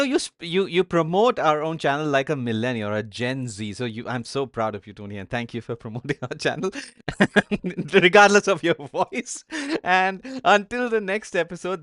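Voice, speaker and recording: A man's voice: a little high, a bit bright, dry recording, studio quality. A man co-hosting a talk show, discussing news stories casually, with many fillers and hesitations. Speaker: podcast co-host.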